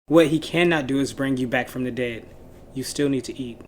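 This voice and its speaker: A man voicing an earnest, protective young knight in a dark fairy tale, admonishing and exasperated. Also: mid-range voice, a little fast, a little hiss or hum, voice pushed up, lightly acted. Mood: fed up.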